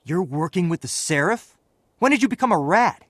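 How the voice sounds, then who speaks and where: An actor playing a brash young street thug in a video game, defiant but soft-hearted. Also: male voice, high voice, lively intonation, normal speed, no echo. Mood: cocky.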